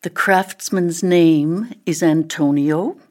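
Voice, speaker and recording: A woman voicing an exotic, worldly female ebony tree with a breathy, whispery delivery in a storybook. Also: lowish voice, slow pace, darkish tone. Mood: knowing. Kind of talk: storybook character voice.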